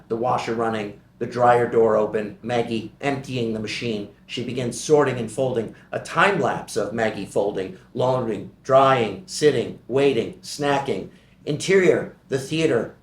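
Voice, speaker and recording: A man reading the stage directions at a table read of a screenplay, in a measured, even way. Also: mid-range voice, reverberant.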